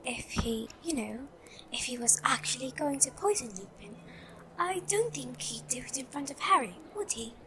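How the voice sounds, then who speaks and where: A woman's voice: high-pitched, lively intonation, moderate pace, noisy background. A woman voicing an earnest, sensible young schoolgirl witch in a fan audio drama. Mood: reasoning, hushed.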